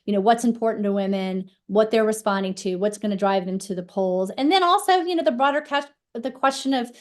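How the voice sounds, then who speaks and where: A woman's voice: mid-range voice, a little fast, darkish tone. A woman speaking as a political analyst, thoughtful, with frequent you-knows. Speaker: political analyst, faculty guest. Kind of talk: interview answer.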